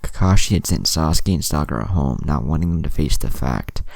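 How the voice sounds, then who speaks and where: A man's voice: very deep voice, animated, fairly quick, gravelly, a little mellow, dry recording. A man narrating a dramatic fan fiction story as a scripted reading. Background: music.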